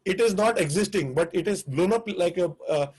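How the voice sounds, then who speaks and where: A man's voice: high-pitched, expressive, brisk, a little mellow, slightly rough. A man with an Indian English accent, teaching a live online class on restaurant business, earnest and prone to repeating himself. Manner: earnest, repetitive, restarts phrases.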